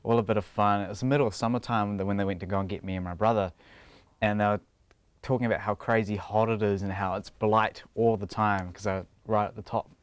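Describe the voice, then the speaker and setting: A man's voice: lowish voice, steady tone, fast, smooth, no echo. A young man with a New Zealand accent, chatting casually in a TV interview and laughing often between his thoughts.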